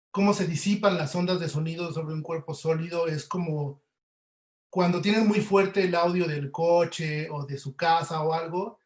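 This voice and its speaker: A Mexican man giving an informal webinar lecture, explaining politely and a bit haltingly over a video call. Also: a little high. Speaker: sound artist giving a talk. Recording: roomy, echoey video call audio.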